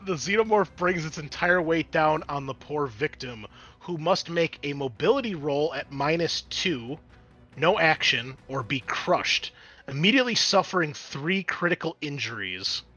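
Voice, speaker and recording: A man acting as game master, narrating a tabletop roleplaying game in an animated, exclamatory way with casual swearing. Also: a little high, measured pace, highs cut off, faint music behind.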